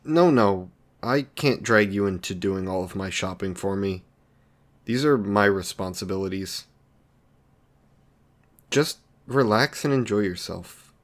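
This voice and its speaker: Lonely villain , male actor, somewhat deep voice. Considerate and socially awkward, apologetic delivery for close, intimate roleplay audio. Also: a little slow, long pauses, natural voice. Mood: wistful, sheepish. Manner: hesitant, apologetic, polite.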